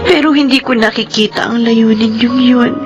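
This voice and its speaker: An actress playing a remorseful adult daughter in a radio drama, pleading haltingly and emotionally. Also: female voice, fairly high pitch, dull audio, close to an everyday voice. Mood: remorseful, tearful. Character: remorseful adult daughter, self-blaming, tender toward sick mother. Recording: studio voice over prominent music.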